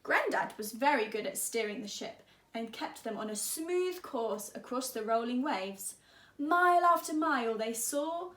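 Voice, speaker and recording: Slow, higher-voiced woman teacher, echoey room. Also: fairly bright tone. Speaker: teacher reading to pupils. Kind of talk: expressive children's story reading.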